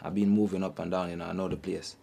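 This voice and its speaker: A young man from Jamaica talks about his upbringing in a hesitant way, leaning on fillers. His voice is low and mellow. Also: steady tone, fast, creaky. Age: young adult. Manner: hesitant, with many "you know" fillers. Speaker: hostel resident. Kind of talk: interview about his life.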